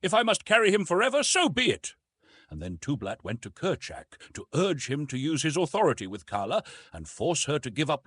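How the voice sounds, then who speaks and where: Male narrator, literary storytelling, very animated pitch, husky edge, dry close sound, emphatic phrasing. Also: no room sound. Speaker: audiobook narrator. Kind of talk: expressive scripted literary narration.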